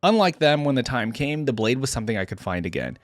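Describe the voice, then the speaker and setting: A man reading a fantasy story as a solemn, haunted, defiant desert wanderer, in a grave, formal, deliberate manner. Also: mid-range voice, very animated, no room sound, close to an everyday voice. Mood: solemn, brooding.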